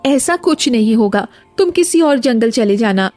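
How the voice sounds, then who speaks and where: A woman acts a cartoon village wife with a high voice. She is fearful and scolds insistently. The recording is dry, with music underneath. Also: animated, moderate pace, a little mellow, dry recording, natural voice. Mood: startled, dismissive. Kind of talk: scripted Hindi cartoon story dialogue. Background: background music.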